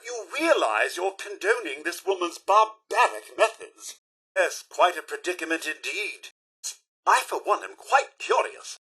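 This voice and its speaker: An actor playing a fussy, proper robot butler in a video game, with a British accent, a raspy voice and a formal, prim, theatrical delivery. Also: male voice, high voice, big pitch swings, a little slow, sharp tone, exaggerated voice. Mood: polite, earnest. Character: fussy, proper male robot butler, polite, easily indignant. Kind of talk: video game character voice lines.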